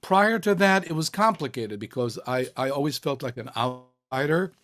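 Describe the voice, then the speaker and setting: An older man who grew up in Israel, answering interview questions in a reflective, halting way with many fillers and restarts. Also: fairly high pitch, animated, fairly bright tone. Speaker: cantor, interviewee.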